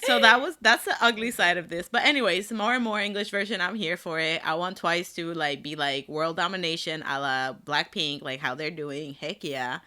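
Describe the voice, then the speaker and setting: A woman co-hosting a relaxed pop-music podcast, her voice bright and clear. She is chatty and giggly, slipping into little acted-out reactions as she talks. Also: lowish voice, normal speed, hardly any pauses. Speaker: podcast co-host. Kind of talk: casual chat.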